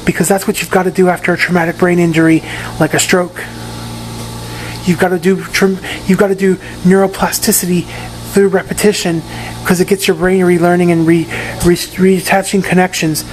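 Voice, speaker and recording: A man with a hoarse, raspy voice giving a casual video update from his room in a rehab facility, often repeating and restarting his words. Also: sits high, fairly even intonation, fairly quick, slight background noise, low-quality recording. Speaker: patient giving a recovery update.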